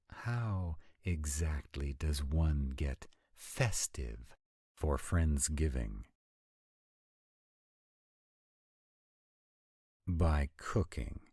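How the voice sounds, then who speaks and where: A man's voice: extremely low pitch, animated, very slow, mellow, slightly rough, dry recording, clean studio sound, heavily acted. A man playing a grumpy, sardonic vampire in a scripted roleplay audio drama, sighing and exasperated in his delivery. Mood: sardonic, reluctant. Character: grumpy male vampire mate, sardonic and proud.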